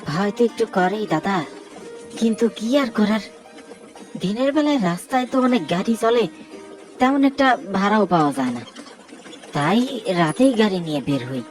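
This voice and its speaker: A woman voicing a poor, humble male rickshaw driver with a hesitant, halting delivery in a horror cartoon. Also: mid-range voice, lively intonation, soft background music, close to an everyday voice. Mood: humble, resigned. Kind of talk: cartoon horror story dialogue.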